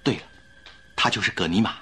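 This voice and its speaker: A man playing a suave, composed, romantic gentleman traveler in a courteous, theatrical radio drama style. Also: on the low side, fast, breathy edge. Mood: intent, knowing. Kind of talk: Mandarin radio drama acting.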